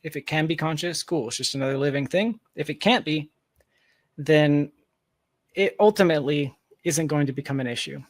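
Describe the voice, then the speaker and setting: A man's voice: on the high side, normal speed, no room sound. A man speaking as an interview guest in a casual, speculative discussion, reasoning aloud with hedges and long asides. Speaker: podcast guest, tech founder.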